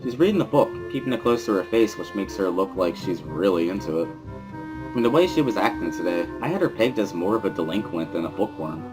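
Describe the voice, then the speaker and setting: Low-voiced man narrating dramatically over music. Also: animated, fairly quick, poor audio. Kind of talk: dramatic reading, scripted narration.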